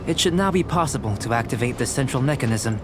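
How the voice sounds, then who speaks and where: A man playing a calm, curious young alchemist in a video game, measured and formal, with music behind him. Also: mid-range voice, close to an everyday voice. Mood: intrigued. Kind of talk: video game character acting.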